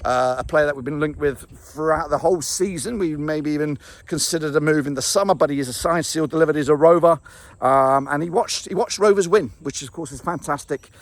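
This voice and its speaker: A man losing his voice, giving an excitable, rambling reaction to a football match with many fillers and restarts. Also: on the high side, brisk. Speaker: football fan vlogger. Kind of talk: casual match reaction to camera.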